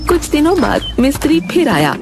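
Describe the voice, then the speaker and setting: Lively woman narrating a story. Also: sits high, normal speed, loud music behind the voice. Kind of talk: scripted cartoon story narration.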